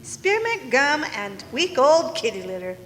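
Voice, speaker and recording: Lady acting as a tough middle-aged noir con woman, sharp bright voice, swoops around a lot, live radio play. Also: sits high, sing-song, unhurried, full character voice. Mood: haughty, sharp. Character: tough middle-aged female telepathic con artist, brassy and haughty. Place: large hall, live stage performance. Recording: echoey hall, faint noise, live stage performance.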